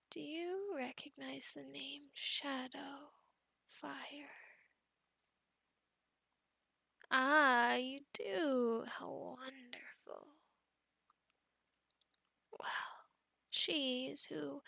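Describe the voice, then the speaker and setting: A woman playing a possessive, lovestruck female werewolf in a whispered ASMR roleplay, slow and coaxing, soothing yet menacing. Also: high-pitched, bright, forceful tone, dry recording, heavily acted. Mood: coy, lovestruck. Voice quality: breathy whisper with creaky edges.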